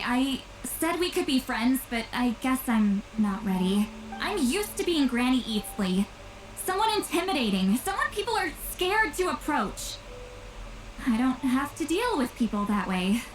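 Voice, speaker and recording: An actress playing a long-lived, intimidating but guarded shaman woman in a video game, halting and sighing, slightly tipsy. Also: sits high, big pitch swings, low-quality recording. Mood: awkward, guarded. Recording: game dialogue under loud music.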